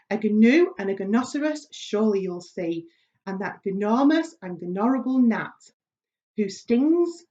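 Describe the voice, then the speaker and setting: A woman's voice: mid-range voice, dark tone, highs cut off, close to an everyday voice. A woman voicing a boastful, exuberant male centipede from a storybook, with theatrical, rollicking delivery.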